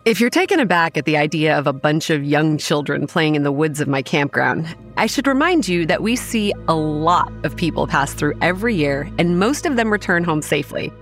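A woman's voice: low voice, expressive, a little fast, few pauses. Matter-of-fact young woman narrator over music. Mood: matter-of-fact, ominous. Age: young adult. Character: young woman campground manager, matter-of-fact and self-assured. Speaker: narrator and lead character. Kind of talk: scripted first-person horror drama narration.

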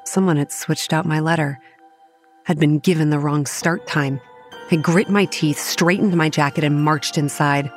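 A woman acts out a proud young manager who narrates a horror audio drama. Her voice sits low, with soft music beneath it. She is stern and measured, with a combative edge. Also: steady tone, lightly acted. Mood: tense narration. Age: young adult. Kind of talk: first-person dramatic narration with dialogue.

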